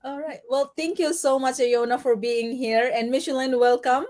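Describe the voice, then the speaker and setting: Higher-voiced woman hosting a live interview. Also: fairly even intonation, darkish tone. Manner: friendly, halting welcome. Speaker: interview host.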